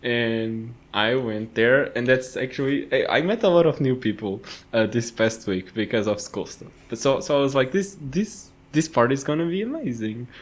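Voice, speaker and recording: A young man with a medium-pitched voice chats on a podcast. He tells personal anecdotes casually, poking fun at himself as he goes. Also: animated, moderate pace, hardly any pauses. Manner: joking, self-mocking.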